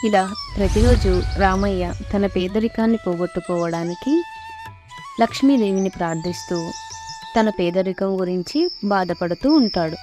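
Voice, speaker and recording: A woman's voice: mid-range voice, normal speed, music in the background. A woman narrator reading a scripted moral story for children in a storytelling style.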